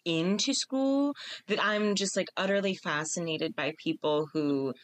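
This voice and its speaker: A woman's voice: lowish voice, measured pace, no echo. A woman co-hosting a podcast, telling personal anecdotes casually with wry exaggeration and acting out quoted exchanges. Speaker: podcast co-host.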